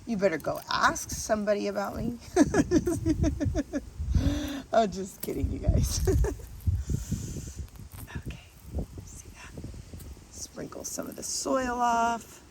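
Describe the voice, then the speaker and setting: A woman with a slightly low voice talks very slowly and casually, as a vlog host narrating what her hands are doing. She rambles from one remark to the next. The mic is some way off, with clear noise behind her. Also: very animated. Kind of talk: casual chat while working. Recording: distant mic, noisy background.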